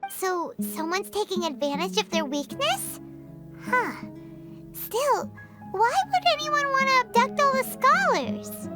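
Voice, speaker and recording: A woman voicing a small, childlike fairy companion in a video game, excitable and chirpy. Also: extremely high pitch, slow, heavily acted. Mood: puzzled. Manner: refers to self in third person, excitable. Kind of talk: video game dub acting. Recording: music behind the voice.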